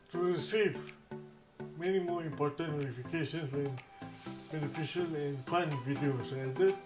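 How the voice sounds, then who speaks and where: Man giving a halting tech walkthrough, roomy echo. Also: on the high side. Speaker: host of accessibility tech demonstration videos. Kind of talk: informal spoken tutorial walkthrough.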